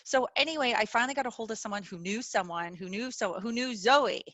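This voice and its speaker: A middle-aged woman hosting a webinar over a video call, talking earnestly with many pauses and fillers. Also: fairly high pitch, fairly bright tone, no echo. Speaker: webinar host. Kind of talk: casual chat.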